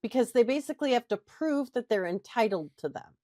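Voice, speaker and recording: A woman's voice: fairly high pitch, animated, brisk, warm tone, no echo, clean studio sound. A woman co-hosting a podcast, explaining her points at length and often checking in with "right?" and "okay?". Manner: explanatory, frequent "right?" tag questions. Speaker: podcast co-host. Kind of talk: casual podcast discussion.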